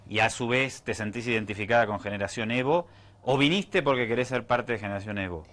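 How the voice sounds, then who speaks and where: A man's voice: mid-range voice, fast, a bit bright. A man hosting a group interview, asking probing questions in a conversational way. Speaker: interviewer, show host.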